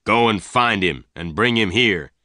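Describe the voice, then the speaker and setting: A man's voice: fairly low pitch, expressive, unhurried, pushed and bright, no echo, studio quality. A man voicing an angry, domineering gang leader who makes harsh threats.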